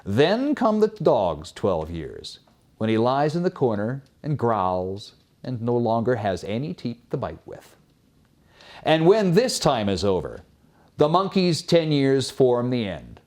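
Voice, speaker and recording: A man hosting and narrating folk tales from a script in an expressive, formal manner. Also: mid-range voice, slow. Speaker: storyteller, host.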